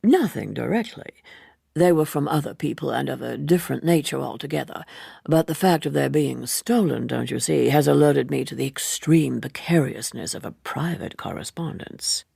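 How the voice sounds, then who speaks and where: Woman voicing a suave, low, gravelly Edwardian gentleman. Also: lively intonation, moderate pace, no echo, clean studio sound, full character voice. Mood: grave, confiding. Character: suave, persuasive younger gentleman of Edwardian times.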